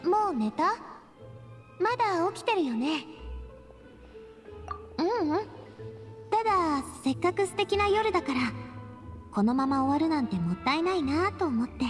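High-voiced, playful young woman. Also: very animated, a little slow, pauses often, faint music behind, exaggerated voice. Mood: playful, upbeat. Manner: playful, breezy, affectionate. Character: cheerful, spirited young woman, playful and confident. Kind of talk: Japanese anime game voice acting.

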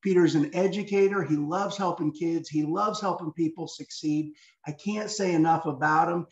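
A man's voice: on the high side, normal speed, darkish tone. A man from southeast Kansas giving a plain, folksy introduction on a video call. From southeast Kansas, USA. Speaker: team member introducing speaker. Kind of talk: casual introduction. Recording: dull roomy video-call audio.

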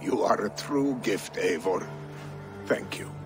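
An actor playing a loyal, solemn older Viking steward with a formal, measured delivery in a video game. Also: male voice, lowish voice, lively intonation, music in the background. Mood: solemn, devoted. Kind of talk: dramatic video game cutscene acting.

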